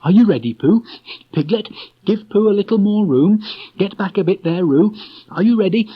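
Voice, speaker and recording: A man's voice: high-pitched, lively intonation, husky, pitched above the performer's own voice. A man voicing a fussy rabbit who likes to give the orders. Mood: bossy. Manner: brisk, commanding, organising. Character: fussy, bossy rabbit who takes command. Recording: dull lo-fi recording.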